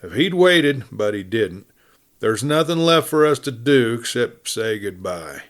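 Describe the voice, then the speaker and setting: A man's voice: mid-range voice, sing-song, unhurried, fairly bright tone. Man playing a big, blustering western outlaw. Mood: cool, defiant. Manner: taunting, blustering cowboy talk. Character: big, bold, defiant western outlaw gunman.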